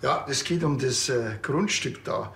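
A man's voice: medium pitch, unhurried, vocal fry, a bit bright, slight background noise. An actor playing a grumpy, dry-witted policeman with a Bavarian accent and a curt way of talking, in a TV crime comedy. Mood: deadpan.

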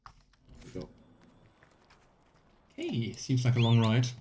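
A man's voice: mid-range voice, huge pitch swings, takes it slowly, warm tone, a little hiss or hum. A man in his thirties giving casual, chatty let's play commentary with a wry, mock-complaining manner. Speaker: game streamer. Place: small room indoors. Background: game music.

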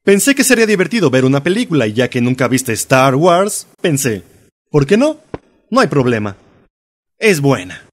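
A man with a Latin American accent playing an anxious, excitable young man in love in a comedy fan dub. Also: mid-range voice, normal speed. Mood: feigned casual. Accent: Latin American Spanish.

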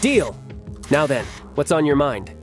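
Cartoon robot henchman, male actor: animated, courteous, wry and matter-of-fact, faint music behind. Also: a little high, unhurried. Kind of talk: dramatic comic dub.

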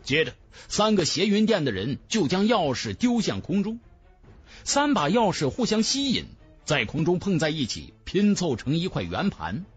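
A man's voice: a little high, wildly swooping pitch, moderate pace, husky, faint music behind. A man reading a fantasy novel as a dramatic audiobook narrator.

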